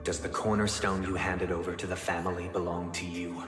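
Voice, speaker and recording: An actor playing a stern, immaculate, devout authority figure in a video game, speaking in a formal, measured, coldly courteous way. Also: male voice, low voice, flat delivery, lightly acted. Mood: solemn, commanding. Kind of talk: dramatic video game voice acting. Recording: echoey hall reverb with music behind.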